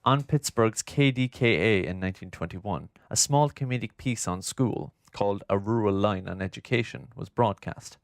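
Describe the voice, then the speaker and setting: A man narrating a scripted documentary in a formal, measured, literary way, close on the microphone. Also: on the low side, vocal fry, dry recording. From Ireland. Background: music.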